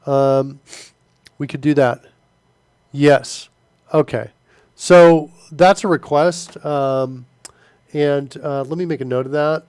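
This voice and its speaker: A man's voice: fairly high pitch, fairly even intonation, takes it slowly, pauses often, a little mellow, smooth, no room sound, clean studio sound. A man with a stuffy nose hosting a live coding stream, chatting loosely with viewers and using many fillers as he works. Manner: loose and filler-heavy, answers chat as he works. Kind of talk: casual live-stream chat while coding.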